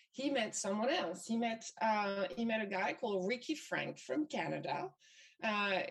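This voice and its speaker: A woman's voice: mid-range voice, distant mic. A woman from France with a French accent, speaking English as a second language, telling a story in a motivational talk and often repeating words and using fillers. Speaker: event speaker.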